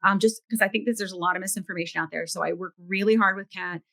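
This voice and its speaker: A middle-aged woman co-hosting a podcast, chatty and quick to laugh, with animated asides. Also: mid-range voice. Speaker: podcast co-host. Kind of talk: casual chat and ad read.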